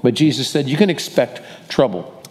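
A male pastor walks his congregation through scripture in a loose, rambling way, stopping often to correct himself. His voice sits at an everyday pitch for a man. It rings out with a long reverb, as it would in a church sanctuary. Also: animated, normal speed. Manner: warm, rambling, self-correcting delivery. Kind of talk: casual Bible study teaching.

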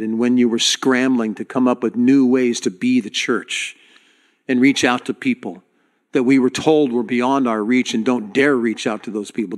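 An earnest male pastor addressing his congregation in a sermon. His voice sits at an ordinary middle pitch, and he speaks directly to the people, often stopping to restart a phrase.

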